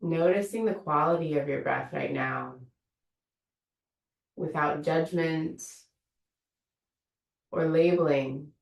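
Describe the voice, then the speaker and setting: A low-voiced woman guides a meditation, her words unhurried and gently inviting. Her voice has a gravelly edge. She sounds a little away from the mic, and the room gives it an echo. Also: recorded from a distance. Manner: slow, soothing, invitational guidance. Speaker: meditation teacher. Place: room of an online yoga studio.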